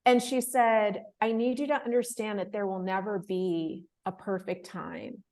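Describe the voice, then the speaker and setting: A woman in her thirties, a guest in an interview, telling her story in a casual, animated way, laughing often and acting out the voices of people she quotes. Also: mid-range voice, unhurried. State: emotional, close to tears. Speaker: interview guest, fellowship winner.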